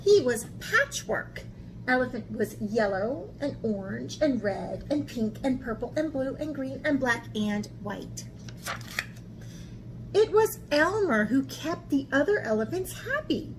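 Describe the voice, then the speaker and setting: Woman art teacher for kids' storytime, high voice, unhurried animated delivery, slightly noisy recording. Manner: animated, asks listeners questions. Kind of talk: children's picture-book read-aloud.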